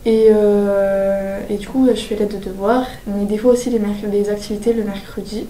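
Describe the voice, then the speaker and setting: A woman's voice: medium pitch, flat delivery, normal speed, warm tone, a little hiss or hum. An eighteen-year-old woman, a civic service volunteer, speaking hesitantly and repeating herself. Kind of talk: personal testimonial. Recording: roomy distant recording.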